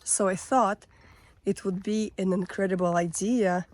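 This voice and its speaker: A woman vlogging on a hiking trail outdoors, her voice hoarse as it recovers, musing reflectively with loose fillers. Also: medium pitch, fairly even intonation, a little slow, darkish tone, slight background noise. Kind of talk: casual walk-and-talk vlog.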